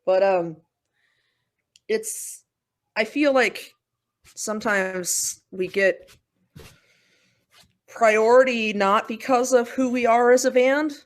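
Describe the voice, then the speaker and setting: A woman vocalist, a guest on a podcast, talking in an animated way and restarting her sentences. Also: on the high side, slow, long pauses. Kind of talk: podcast conversation.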